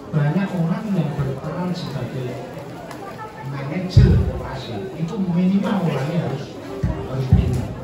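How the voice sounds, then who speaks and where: Older Javanese man in an echoey hall. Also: fairly high pitch. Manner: rambling, folksy, slips into Javanese, counts points off. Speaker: Samin community elder answering students. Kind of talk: informal explanatory talk to students. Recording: echoey hall, far from mic, dull sound, crowd.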